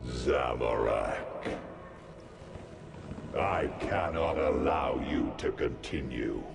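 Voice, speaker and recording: A man playing a menacing, wrathful god in a fantasy video game cutscene, declaiming grandly in a deep, gravelly voice. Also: drawn-out words, soft background music, full character voice. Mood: stern, commanding.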